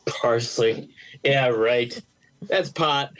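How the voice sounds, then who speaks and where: A man's voice: mid-range voice, drawn-out words, fairly bright tone, rough recording. A man playing a skeptical, sarcastic coworker in an amateur audio play, with dry, hesitant interjections. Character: skeptical, sarcastic male coworker, reluctant to join in.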